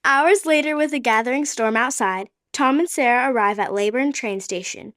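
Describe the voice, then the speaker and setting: Woman narrating a radio play, high dry voice. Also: moderate pace, fairly bright tone, no echo.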